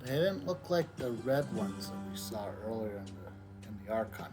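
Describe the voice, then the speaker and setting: A man giving casual, offhand and somewhat halting commentary as he plays a game. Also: fairly low pitch, very animated. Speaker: gaming streamer. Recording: loud game music behind the voice.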